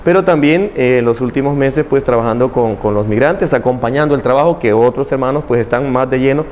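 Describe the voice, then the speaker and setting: A middle-aged Salvadoran man, a Claretian missionary priest, explaining things in a calm, measured way and often ending with "¿verdad?". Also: mid-range voice, slight background noise. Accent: Salvadoran Spanish. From El Salvador, Chalatenango.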